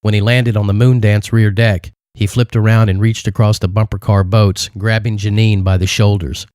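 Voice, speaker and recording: Guy with a lowish voice reading an action novel out loud, dry close sound. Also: flat delivery, normal speed, clean tone, dry recording. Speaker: audiobook narrator and author. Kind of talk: dramatic scripted narration.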